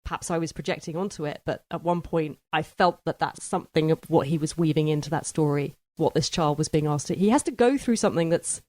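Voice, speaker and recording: A woman's voice: sits low, fast talker, few pauses, warm tone, dry recording. A woman hosting a book discussion podcast, chatting in a thoughtful, conversational way. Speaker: podcast host.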